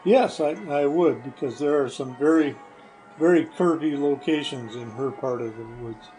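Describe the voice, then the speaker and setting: An older man answering casual interview questions as a guest, plainspoken, terse and halting. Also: medium pitch, warm tone, soft background music, low-quality recording. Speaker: podcast guest, hosts' father.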